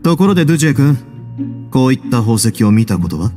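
Man acting a composed, low-voiced duke. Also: big pitch swings, dark tone, close to an everyday voice. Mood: casual. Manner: measured, casual authority. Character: composed, authoritative duke, cool prison warden with dry wit. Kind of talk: Japanese anime game voice acting. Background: music.

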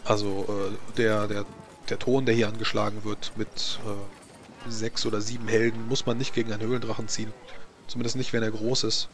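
A man improvising narration as game master of a tabletop roleplaying game, haltingly searching for words. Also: on the low side, flat delivery, a little hiss or hum.

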